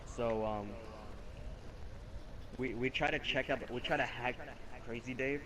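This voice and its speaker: A man's voice: mid-range voice, steady tone, unhurried. A young man improvising a casual, rambling story over video game footage, earnest and repetitive as he goes. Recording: noisy home game-capture microphone.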